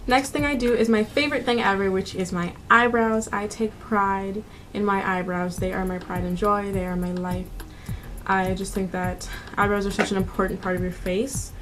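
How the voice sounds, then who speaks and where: A woman in her twenties talks through a makeup routine in a chatty, informal manner. Her voice sits at a medium pitch, and music plays along behind her. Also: fairly even intonation, moderate pace, a bit bright. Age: 20s. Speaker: beauty vlogger. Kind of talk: casual makeup tutorial narration. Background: background music.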